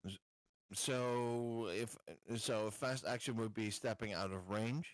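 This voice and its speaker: A man's voice: on the low side, steady tone, a little slow, no echo. A man chatting casually as a player in a tabletop roleplaying game, often asking questions in an informal way.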